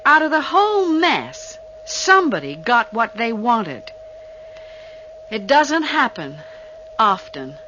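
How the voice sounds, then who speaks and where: Breezy practical girl in old radio play, lively, muffled and hummy. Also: fairly high pitch, slow pace. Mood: wry, reassuring. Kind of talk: radio drama acting. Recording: dull noisy old radio drama with hum.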